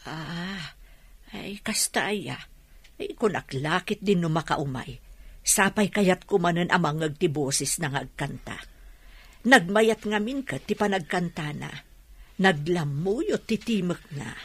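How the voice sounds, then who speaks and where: A woman acting a kindly, welcoming older mother with a husky voice in an Ilocano radio drama. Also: on the low side, animated, unhurried, fairly bright tone, no room sound, exaggerated voice. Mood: hopeful.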